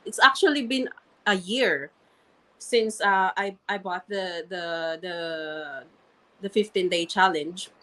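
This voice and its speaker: This woman speaks very slowly and deliberately, with a strong non-native accent in her English. As an interview guest she tells her story earnestly, looping back over her words and restarting her phrases. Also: fairly low pitch, a bit bright, poor audio. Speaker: interview guest, registered nurse and online marketer. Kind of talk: candid personal story in conversation.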